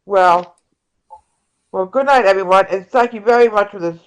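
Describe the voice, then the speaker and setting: Woman playing an earnest, halting progressive candidate. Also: mid-range voice, smooth, close to an everyday voice, rough recording. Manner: halting, stumbling reading. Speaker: actor in an amateur table read. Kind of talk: campaign speech read from script.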